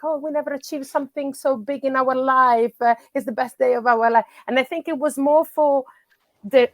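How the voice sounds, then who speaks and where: A woman's voice: on the high side, dry recording. Italian woman with a dry close sound. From Italy. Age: middle-aged. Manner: hesitant, many fillers and restarts. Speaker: soccer coach. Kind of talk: podcast interview.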